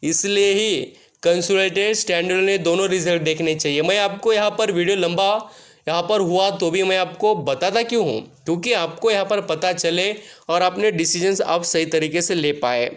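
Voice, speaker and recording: High-pitched man presenting financial updates. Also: moderate pace, clear, resonant tone. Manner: Hindi heavily mixed with English terms and numbers. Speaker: finance YouTube host. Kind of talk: explanatory stock results commentary to viewers.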